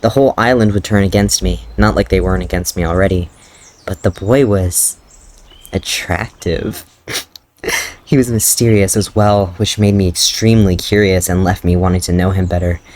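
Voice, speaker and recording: A man's voice: deep voice, fairly even intonation, moderate pace, a little mellow. A man doing a dramatic reading of his old fanfiction, breaking off into amused, self-mocking commentary and laughter.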